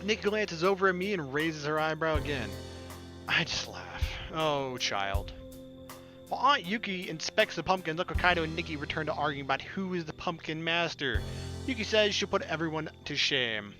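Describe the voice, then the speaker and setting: A man's voice: fairly high pitch, sing-song, normal speed. A young man reading a visual novel aloud as a let's player, with casual, irreverent asides. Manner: playful, irreverent. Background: music.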